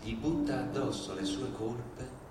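Hushed, very slow man reading in echo. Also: mid-range voice, background noise. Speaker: stage narrator. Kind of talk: slow theatrical dramatic reading. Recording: echoey hall, distant, music behind.

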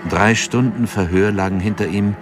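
A man narrating a radio drama in a calm, measured way. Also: on the low side, over music. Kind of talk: scripted narration.

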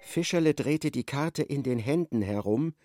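A man narrating a radio play as literary storyteller, in a measured and wry manner. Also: medium pitch, lively intonation, over music, natural voice.

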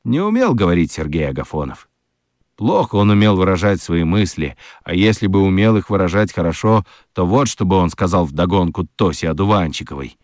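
A man narrating a children's radio story with dramatic, expressive delivery and deliberate pauses. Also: low-pitched, dry recording, studio quality.

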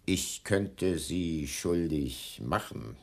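An actor playing a cold-blooded blackmailer in a radio crime drama, speaking in a deliberate, condescending and commanding way. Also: male voice, low voice, expressive, creaky, a little mellow, heavily acted. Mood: coldly menacing. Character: menacing, cold-blooded male bookseller turned blackmailer.

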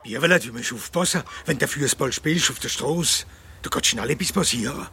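An actor playing a kindly, fatherly old country doctor with a husky, breathy voice in a radio play. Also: mid-range voice, moderate pace, heavily acted. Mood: gently admonishing. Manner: gentle, fatherly.

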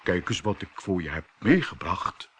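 A man's voice: sits low, sing-song, moderate pace, fairly bright tone, breathy edge, pitched below the performer's own voice, exaggerated voice. A man voicing a fat, bossy, scheming mother toad in a children's fairy tale. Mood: pleased, presenting.

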